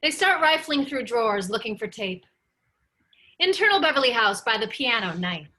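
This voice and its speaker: A woman's voice: on the high side, sing-song, normal speed, bright, forceful tone. A woman narrator reading stage directions at a script table read.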